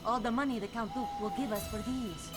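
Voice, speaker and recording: A woman's voice: fairly high pitch, fairly even intonation, a little fast, natural voice. A woman voicing a flirtatious, defiant, streetwise female rogue in a video game. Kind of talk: animated video game acting. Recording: loud music behind the voice.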